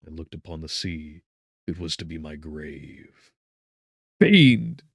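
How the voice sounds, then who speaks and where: A man's voice: very deep voice, level tone, measured pace, vocal fry, a bit bright, husky, no room sound, clean studio sound. A man reading a gothic novel as an audiobook narrator, in a solemn, theatrical manner with swelling and falling volume.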